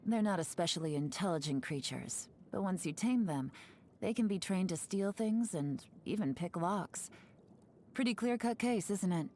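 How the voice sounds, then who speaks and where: A sly young woman spy in a video game, with a fairly low, mellow voice. She speaks in an unhurried, teasing, secretive manner that slips into breathy asides, with music behind her. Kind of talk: video game character voice lines.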